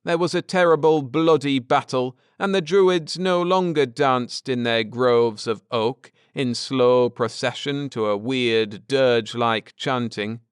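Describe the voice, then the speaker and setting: A man voicing a grim, intense space-travelling husband in an audiobook, lecturing gravely and earnestly with drama. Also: mid-range voice, no room sound, clean studio sound, voice pushed up, close to an everyday voice. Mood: grim, foreboding.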